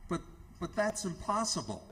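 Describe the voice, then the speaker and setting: An actor playing an earnest, careworn hospital superintendent in radio theatre, halting and emphatic. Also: male voice, sits high, sing-song, bright, forceful tone, heavily acted. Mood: startled.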